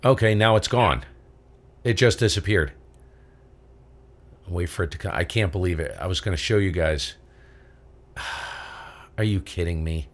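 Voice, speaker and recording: A man with a very low voice talks casually on his amateur radio live stream from his home station, exclaiming at what he sees on screen. He comes from Vermont and spells out call signs slowly and clearly when on air.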